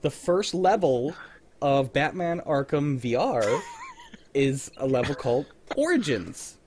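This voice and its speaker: A man's voice: on the high side, lively intonation, a little slow, a little mellow, a little hiss or hum. A man hosting a casual livestream chat in a wry, teasing way, with some mild swearing. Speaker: host of a livestream.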